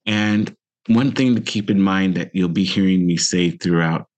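A man in his fifties with an American accent, hosting a reflective solo podcast in a measured, earnest manner. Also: deep voice, darkish tone. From Central Valley, United States. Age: 50s. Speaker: podcast host.

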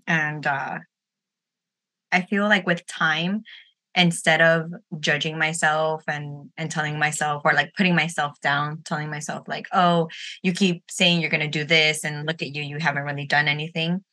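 A woman's voice: low-pitched, level tone, normal speed, pushed and bright. A woman in her late twenties hosting a casual roundtable podcast, talking informally and candidly with a playful streak. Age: late 20s. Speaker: podcast host.

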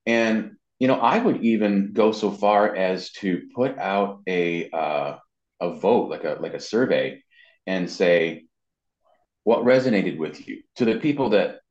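A man's voice: on the low side, expressive, a little slow, a little mellow. A man giving informal, explanatory advice as an interview guest, checking in with "you know" as he goes. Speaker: interview guest, marketing and web consultant. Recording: muffled lo-fi sound, roomy echo.

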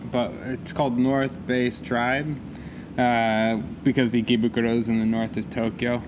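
Man, mid-pitched, laid-back and halting, loud bar noise. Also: little pitch movement. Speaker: guest, club event organizer. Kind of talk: casual drinking chat. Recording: noisy bar ambience.

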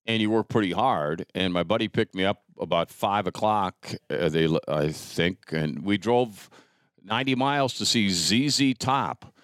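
A man's voice: lowish voice, lively intonation, normal speed, a bit bright, dry recording, studio quality. A middle-aged American man hosting a casual chat, reminiscing in a rambling, conversational way. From rural United States. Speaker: podcast host.

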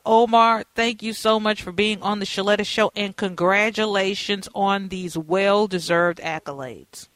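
A woman's voice: mid-range voice, no room sound. Conversational radio host woman, dry studio. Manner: conversational, with fillers. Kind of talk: radio interview.

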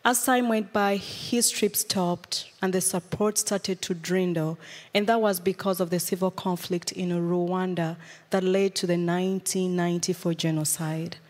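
A woman in her thirties from Uganda, a guest speaker telling her life story to a church in an earnest, measured way. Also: on the low side, steady tone, a little mellow, long reverb tail. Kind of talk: personal testimony. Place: church sanctuary.

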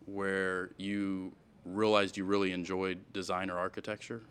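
A man's voice: low-pitched, flat delivery, moderate pace, a bit bright, smooth. A man interviewing a guest in a casual, conversational style.

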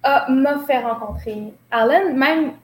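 Slow, hesitant Québécoise woman. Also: high voice. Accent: Québécois French. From Quebec. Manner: hesitant, many fillers and restarts. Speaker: guest, choreographer. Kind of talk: reflective interview talk. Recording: roomy recording, faint noise.

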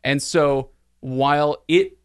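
A man's voice: fairly high pitch, steady tone, takes it slowly, clear, resonant tone, no echo, studio quality. A man talking casually about films as a podcast host, opinionated and repeating words for emphasis. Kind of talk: casual film discussion.

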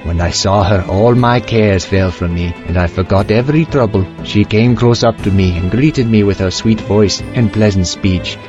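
A man's voice: lowish voice, moderate pace, few pauses, dull audio, music in the background. A man narrating an audio drama in the first person as a young merchant, with theatrical, archaic storybook diction.

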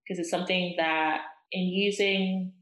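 Woman, from Zimbabwe, young adult, lowish voice, filler-heavy casual talk, echoey room. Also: fairly even intonation, measured pace, fairly bright tone. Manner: thoughtful, many "like" fillers, laughs often. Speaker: guest, soap brand founder. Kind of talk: casual interview chat.

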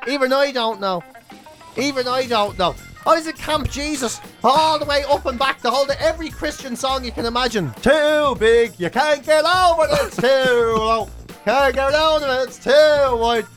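A man's voice: very high-pitched, moderate pace, a bit bright, soft background music. An Irish man hosting a radio show with casual, irreverent comic banter and slangy storytelling.

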